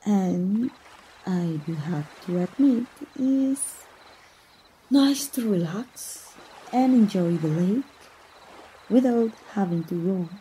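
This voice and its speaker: Casting: woman to play a young, self-reliant princess, Mexican accent, very relaxed dark tone, slow delivery, playful and tender, for romantic ASMR roleplay. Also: medium pitch. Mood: warm, shy. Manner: teasing, gentle, bashful hesitations. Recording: noisy outdoor nature ambience.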